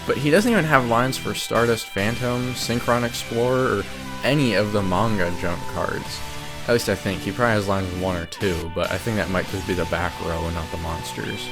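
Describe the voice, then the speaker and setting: Low-pitched man, wry commentary over music. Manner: opinionated, wry. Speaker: video creator narrating. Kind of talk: scripted commentary on a ranking. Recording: music behind the voice. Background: game music.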